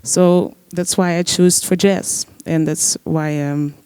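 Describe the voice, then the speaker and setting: A young man, a trombone player, answering on a discussion panel in a searching, halting way with many fillers. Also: on the high side, fairly even intonation, a little mellow, smooth, dry recording. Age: young adult. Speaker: musician guest, trombone player.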